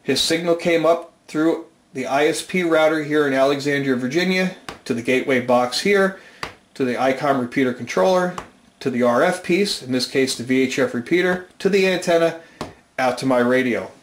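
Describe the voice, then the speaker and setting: A man's voice: mid-range voice, moderate pace, slight background noise. An American man presenting an informal technical explanation at a whiteboard indoors, methodically going step by step. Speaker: amateur radio channel host, presenter. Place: small indoor room at a whiteboard. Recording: roomy, reverberant indoor sound.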